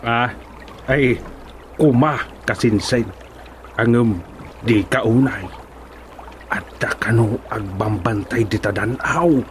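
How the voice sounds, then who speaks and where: A man's voice: medium pitch, slow, long pauses, slight background noise, natural voice. A man with an Ilocano accent playing a hesitant, fretful cousin in a radio comedy drama. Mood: uneasy.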